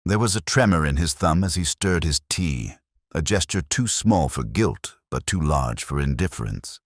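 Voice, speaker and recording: A man narrating a scripted first-person mystery story in a measured, formal, literary manner. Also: a bass voice, expressive, dry recording, clean studio sound.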